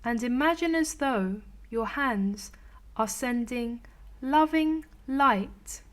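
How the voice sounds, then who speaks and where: Lady doing guided meditation, kinda high soft soothing delivery, super slow w/ long gaps. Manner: soothing, gentle, unhurried with long pauses. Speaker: meditation teacher.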